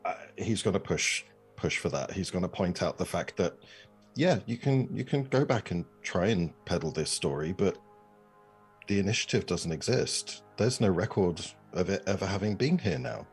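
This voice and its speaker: A man playing a tabletop game, haltingly improvising what his character does and feeling out his phrases. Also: low voice, lively intonation, faint music behind. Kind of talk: improvised description of a character's actions.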